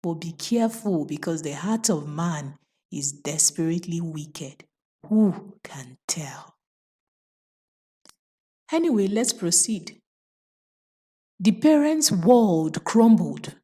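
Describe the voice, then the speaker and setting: A woman narrates a folktale at a slow, deliberate pace. She leans on key phrases, repeats them for weight, and steps aside to give moral warnings in a dramatic storyteller's delivery. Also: fairly low pitch, lively intonation, dark tone, husky. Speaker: storyteller and host.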